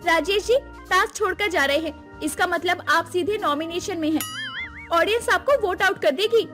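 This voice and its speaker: A woman's voice: very high-pitched, moderate pace, over music, voice pushed up. A woman voicing a bossy, theatrical young daughter-in-law who announces rules like a reality-show host in a dramatic family story. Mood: stern announcing. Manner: theatrical, commanding, sprinkles English words.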